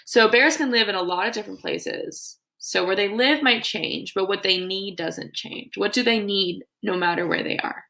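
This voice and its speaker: A woman teacher with a creaky voice reading aloud to young children in an instructive way, with her own comments and questions. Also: medium pitch, normal speed, hardly any pauses, sharp tone.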